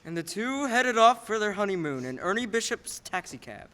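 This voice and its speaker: Heavenly superintendent for radio play, played by a man: authoritative, patient, bright and high, tells the story. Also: big pitch swings, normal speed, heavily acted. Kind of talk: radio play narration in character.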